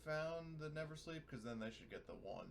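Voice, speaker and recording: A man's voice: fairly high pitch, animated, fairly quick, creaky. A man chatting casually as a player in a tabletop role-playing game. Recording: very noisy home microphone audio.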